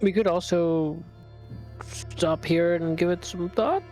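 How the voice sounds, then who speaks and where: Here is a man with a fairly high voice, eager and playful as he chats at a tabletop game. Soft music plays faintly behind him. He sounds relaxed and easygoing. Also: takes it slowly. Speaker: player. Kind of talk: casual game chat.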